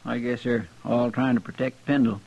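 Good-hearted rustic sidekick, male actor, creaky country drawl, old western radio with dull, faintly noisy sound. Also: medium pitch, little pitch movement, normal speed, darkish tone, lightly acted. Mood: earnest. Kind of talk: 1950s western radio acting.

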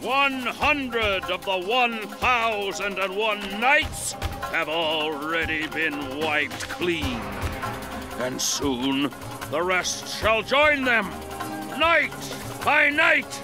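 An actor playing a menacing, arrogant evil djinn in a video game, with theatrical, drawn-out, gloating delivery. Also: male voice, high-pitched, animated, over music, full character voice. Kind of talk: video game cutscene acting.

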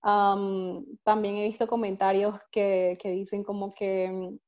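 A woman's voice: medium pitch, level tone, measured pace. A woman from Nicaragua with a Nicaraguan accent, moderating an online panel in a conversational way over dull, lo-fi call audio. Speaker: webinar moderator.

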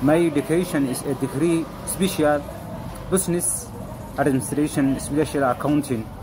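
A businessman from Somalia introducing himself in plain, halting English in a self-recorded video. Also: on the high side. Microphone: phone at arm's length. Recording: noisy phone clip with traffic.

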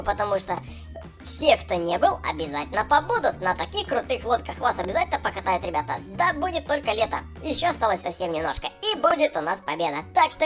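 A man's voice: extremely high pitch, fairly bright tone, heavily acted. A man voicing a cheerful young clown for small children, gushing and chatty as he narrates gameplay. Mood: excited. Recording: voice over background music. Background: music.

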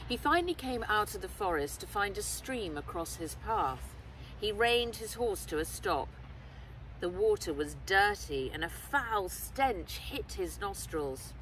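Slow-paced woman reading a story outdoors. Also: medium pitch, a bit bright. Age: middle-aged. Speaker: author reading own novel aloud. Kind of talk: expressive scripted storybook narration. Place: outdoors in woodland. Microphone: camera a short distance away. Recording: outdoors, distant mic, clear background noise.

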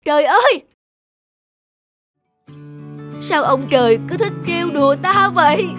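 A man's voice: extremely high pitch, expressive, fairly quick, music in the background. A man voicing a weary, longing young singer in a cartoon, with a plaintive, theatrical delivery. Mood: disillusioned. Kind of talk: cartoon voice acting.

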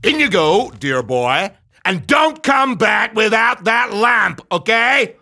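A man voicing a scheming, bombastic old wizard uncle in theatrical, drawn-out children's storytelling. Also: sing-song, bright, forceful tone, exaggerated voice. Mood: commanding. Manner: booming, theatrical, drawn-out, wheedling or commanding.

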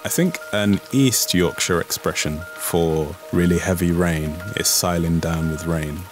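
A man's voice: deep voice, measured pace, music in the background. A man, a poet, answering interview questions in a thoughtful way, with some restarts and fillers.

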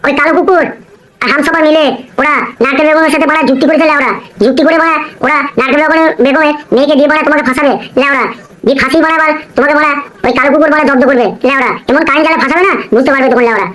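A woman voicing an excitable, foul-mouthed male henchman in a comic cartoon, scolding and cursing. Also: sits high, racing. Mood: urgent warning. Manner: scolding, curse-laden. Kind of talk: amateur comic cartoon dialogue.

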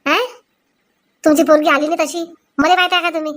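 A woman's voice: high voice, fairly even intonation, fairly quick, a bit bright. A woman voicing a quarrelsome young daughter-in-law in a comic cartoon, complaining combatively. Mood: angry, accusing.

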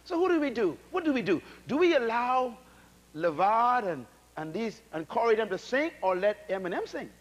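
A middle-aged man preaching a sermon to a large congregation in a church auditorium, animated, calling out to the crowd and swinging from loud to soft. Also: very high-pitched, moderate pace. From Bahamas. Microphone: handheld mic.

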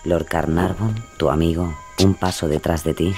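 Solemn audio drama storyteller, super deep voice, deliberate, bit of music behind. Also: male voice, mellow. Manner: solemn, deliberate, short phrases. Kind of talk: audio drama second-person narration. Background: faint music.

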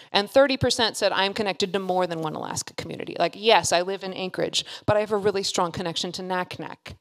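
A woman in her thirties speaks from a podium into a close handheld microphone. Her voice sits at a middle pitch, and her delivery is informal but earnest, leaning on emphatic repetition. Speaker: keynote speaker. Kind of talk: informal keynote speech. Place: hall with seated audience. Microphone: handheld microphone held close at a podium.

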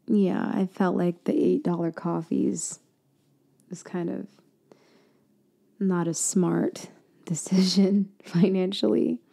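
Slow, gravelly-voiced woman half-whispering. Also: level tone. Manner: soft, intimate, drifting between low voice and whisper; hesitant, trailing off and restarting. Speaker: ASMR roleplay creator. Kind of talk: girlfriend roleplay phone call ASMR.

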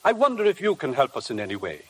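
Formal coroner, husky voice. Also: male voice, mid-range voice, wildly swooping pitch, a bit bright, full character voice. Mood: formal, probing. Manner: formal, measured questioning. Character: formal, probing male coroner at an inquest. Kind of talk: 1950s radio drama acting.

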